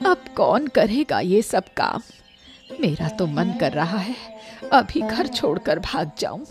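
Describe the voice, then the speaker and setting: A woman's voice: medium pitch, very animated, slow pace. A woman voicing a grumbling, weary elderly village mother in a Hindi cartoon moral story. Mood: bitter, complaining. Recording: music bed under the voice.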